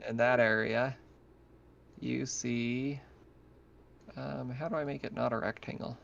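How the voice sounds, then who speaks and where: A man's voice: medium pitch, fairly even intonation, unhurried, creaky, a bit bright. A man running a tabletop game as game master, narrating casually over an online voice chat. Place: online voice call. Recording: dull, lo-fi voice chat.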